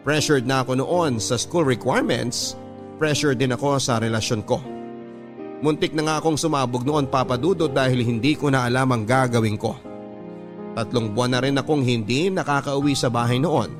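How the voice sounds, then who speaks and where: A man's voice: medium pitch, normal speed. A man with a Filipino accent reading a listener's letter as scripted, dramatic radio narration. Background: music.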